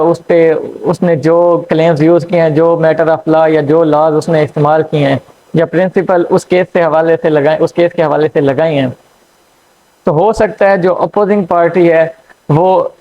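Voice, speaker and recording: A man giving a law lecture, explaining slowly with frequent fillers and checks. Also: a little high, a little hiss or hum. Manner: hesitant, frequent fillers and checks "theek hai". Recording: dull lo-fi recording.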